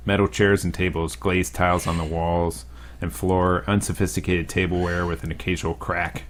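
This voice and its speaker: A young man reading a visual novel aloud as a let's play host, with dry, joking asides. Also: low-pitched.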